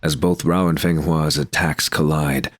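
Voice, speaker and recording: A man's voice: rumbling low, fairly even intonation, measured pace, no room sound, clean studio sound. A man reading scripted scene narration for an audio drama.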